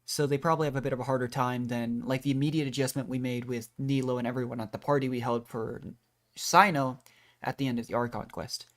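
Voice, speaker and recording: A woman's voice: rumbling low, steady tone, fast talker. A woman streaming a game, giving casual, chatty commentary and musing aloud as she thinks things through.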